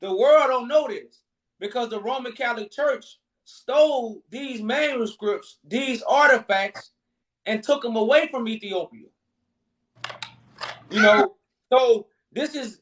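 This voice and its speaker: A man with a high-pitched voice hosts a live debate stream with callers. He talks informally and repeats his phrases for emphasis. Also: a little slow, fairly bright tone, rough recording.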